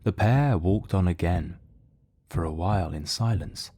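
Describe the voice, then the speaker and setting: A man's voice: deep voice, measured pace. A man reading scripted narration for an audio drama as its narrator. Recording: music behind the narration.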